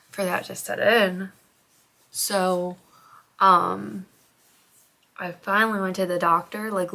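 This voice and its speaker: Chatty young mom, a bit hoarse. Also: female voice, mid-range voice, fairly bright tone. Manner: chatty, rambling, heavy use of "like". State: slightly hoarse, congested after illness. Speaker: vlogger, stay-at-home mom. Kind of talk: casual chat to camera. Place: home, getting ready.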